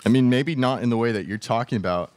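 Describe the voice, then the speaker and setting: A young man playing a laid-back moviegoer with a casual, halting delivery. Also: mid-range voice, darkish tone, lightly acted. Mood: easygoing. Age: young adult. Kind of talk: student radio theatre dialogue. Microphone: microphone on stand.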